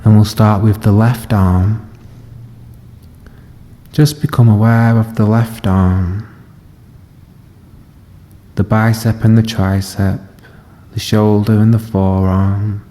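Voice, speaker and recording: A man leading a guided body scan meditation as a teacher, speaking gently and unhurriedly in short phrases with long pauses. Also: fairly low pitch, little pitch movement. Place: live session in a reverberant room. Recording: long reverb of a hall.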